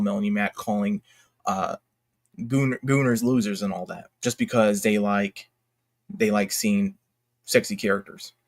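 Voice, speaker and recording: Man in a rambling, emphatic casual rant. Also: fairly low pitch. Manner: rambling, halting, self-interrupting; exclamatory, slangy, emphatic. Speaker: YouTube commentator. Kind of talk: unscripted casual commentary rant.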